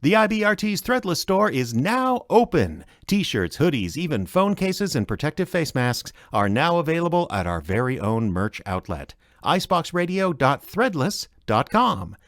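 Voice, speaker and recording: Male radio announcer with lively intonation. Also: fairly high pitch, normal speed, few pauses, dry recording, studio quality. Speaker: announcer and host.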